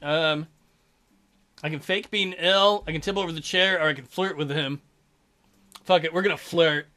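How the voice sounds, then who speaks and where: A male let's play host reading a visual novel aloud with joking asides. His voice sits high and sounds bright and sharp. He breaks off the text with goofy running commentary. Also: steady tone, normal speed.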